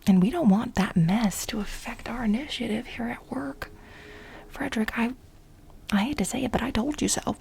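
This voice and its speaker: A woman playing an obsessive, scheming coworker pining for her married boss, whispering dramatically and insistently in a soft-spoken ASMR role play. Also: fairly high pitch, slight background noise. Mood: insistent, pleading. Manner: breathy whispering, dramatic, insistent, with halting repetitions.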